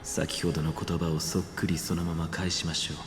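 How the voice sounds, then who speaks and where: An actor voicing a solemn, courteous fantasy game character with formal phrasing. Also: male voice, sits low, level tone, racing, close to an everyday voice. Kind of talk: Japanese video game voice acting. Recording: echoey reverberant game audio with music.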